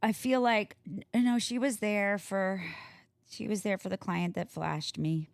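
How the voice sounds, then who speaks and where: A woman's voice: medium pitch, steady tone, a little slow, vocal fry, no room sound. A woman co-hosting a podcast in casual banter, blunt and given to exaggeration. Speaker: podcast co-host.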